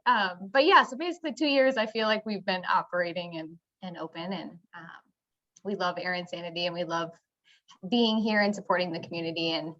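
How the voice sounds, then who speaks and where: A woman's voice: fairly low pitch, a bit bright, lo-fi sound. A woman who owns a business gives a chatty introduction on a panel, full of fillers. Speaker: business owner.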